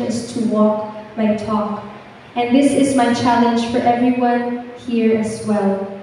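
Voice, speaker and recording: A woman, a camp counselor giving an earnest, measured testimony that encourages the church. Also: fairly high pitch, darkish tone, slight background noise. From Philippines. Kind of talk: prepared church testimony with exhortation. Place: large church hall. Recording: echoey hall, mic from far off.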